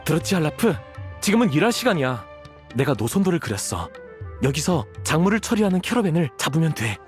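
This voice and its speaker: Young male mercenary scout, high voice, lively swings, earnest, excitable, video game acting. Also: quick pace, faint music behind.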